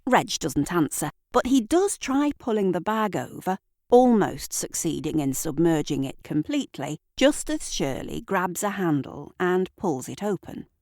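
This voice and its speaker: A woman narrating an audio drama in a deliberate, theatrical storytelling manner, with dramatic pauses. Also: lowish voice, very animated, a little mellow, dry recording, studio quality. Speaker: narrator and writer.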